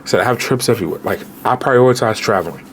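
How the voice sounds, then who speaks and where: A man in his late twenties, a travel vlogger giving casual, animated budget travel advice straight to viewers, with slang. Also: medium pitch, quick pace, slight background noise. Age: 20s.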